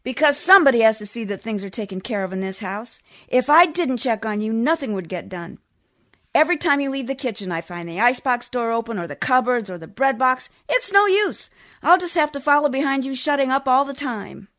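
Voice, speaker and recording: Casting: woman playing a scolding, needy 1940s radio-comedy wife, sharp-tongued, argumentative, fairly high voice. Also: animated, brisk. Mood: wounded, needy.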